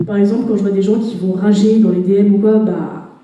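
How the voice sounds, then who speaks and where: A woman's voice: mid-range voice, steady tone, brisk, dark tone, highs cut off. A young woman from France speaking on a conference panel in a casual, chatty and slangy way. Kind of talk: panel discussion talk. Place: conference hall. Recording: echoey hall, voice from far off.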